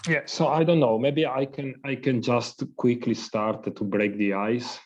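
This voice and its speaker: A man's voice: medium pitch, fairly even intonation, a little slow, darkish tone. A man from Italy, moderating an online meeting in a hesitant, friendly way. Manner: hesitant, friendly, laughs lightly. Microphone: video call. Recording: slightly dull video call audio.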